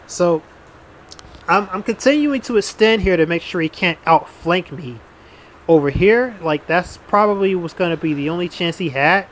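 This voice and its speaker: A man's voice: high voice, expressive, normal speed, fairly bright tone. A man giving casual gameplay commentary as a YouTuber, blunt and rambling, with frequent restarts and some swearing. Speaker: gaming YouTuber.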